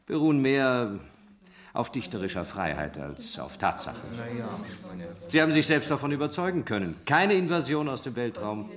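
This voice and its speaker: A man's voice: on the low side, close to an everyday voice. An actor playing a dismissive male official giving a formal, rhetorical speech in a radio drama. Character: smooth, dismissive male official addressing an audience.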